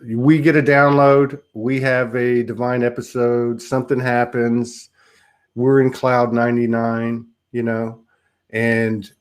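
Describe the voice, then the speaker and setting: A man hosting a spiritual conversation, musing aloud in a rambling way with many repeats. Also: medium pitch. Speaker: host.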